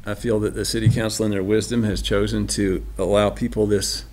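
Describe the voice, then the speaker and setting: A man on a board making formal, deliberate remarks at a public meeting. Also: fairly low pitch, little pitch movement, darkish tone. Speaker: board member. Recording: noisy meeting room recording.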